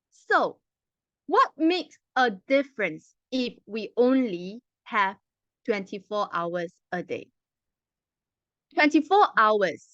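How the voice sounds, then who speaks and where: A woman's voice: a little high, fairly bright tone, no echo. A young woman with a Mandarin accent, a non-native English speaker, opening a club meeting with a rehearsed speech full of emphatic rhetorical questions. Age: young adult. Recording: dull lo-fi dry sound.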